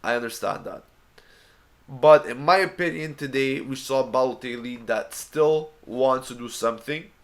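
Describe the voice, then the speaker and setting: A man's voice: medium pitch, normal speed. A man with a bad cold hosting a fan channel, giving an earnest, opinionated review of a football match he just watched.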